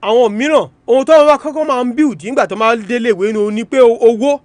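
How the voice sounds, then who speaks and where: A radio presenter with a Nigerian Yoruba accent delivers an educational talk, switching between languages. The manner is didactic, with points restated for emphasis. Music plays clearly behind the voice. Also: mid-range voice, rapid-fire, a little mellow.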